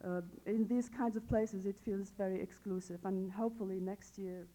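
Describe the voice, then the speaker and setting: A woman's voice: medium pitch, steady tone, moderate pace, mellow, low-quality recording. A woman from Tunisia, making wry, self-assured comments from the floor at a conference. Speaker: conference attendee.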